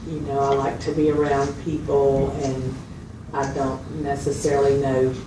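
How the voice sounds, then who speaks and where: A woman acting as a candid female patient talks in a flat, even tone. She is hesitant and plain-spoken, giving her account in short pieces. She sounds far from the mic in an echoey, noisy room. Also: rumbling low, a little slow. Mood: candid, subdued. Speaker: mental health patient in a consultation. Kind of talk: role-played clinical interview, conversational. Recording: distant mic, roomy echo, loud background noise.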